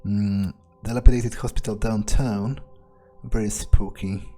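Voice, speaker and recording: A man improvising in-character video game commentary, thinking aloud in a deadpan, callous way with pauses. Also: on the low side, faint music behind. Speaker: gameplay player staying in character.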